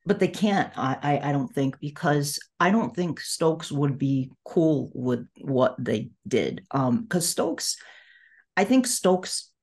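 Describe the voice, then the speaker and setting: A woman hosting a podcast in a casual, conversational interview style, agreeable and halting in her phrasing. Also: sits low, expressive, darkish tone, husky. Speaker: podcast host.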